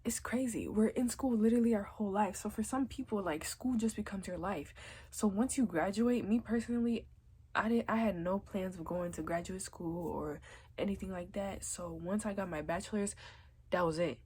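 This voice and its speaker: A woman's voice: medium pitch, moderate pace, warm tone, slight background noise. A young woman with a stuffy, congested nose from a cold, giving chatty, reassuring advice in a casual vlog. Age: young adult. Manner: chatty, reassuring, many likes and literallys. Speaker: vlogger.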